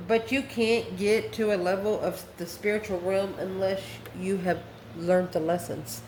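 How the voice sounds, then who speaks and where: Woman reading tarot in an echoey, noisy room. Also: medium pitch. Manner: chatty, informal, chuckles often, rambling asides. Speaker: tarot reader and channel host. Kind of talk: casual, conversational tarot reading. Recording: echoey room, clear background noise.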